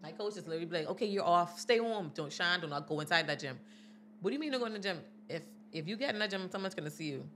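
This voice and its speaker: A young woman co-hosting a podcast, chatting bluntly in a small studio on a boom mic and laughing often. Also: lively intonation, racing, a bit bright, slight background noise. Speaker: podcast co-host. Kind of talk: casual chat. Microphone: boom mic on stand.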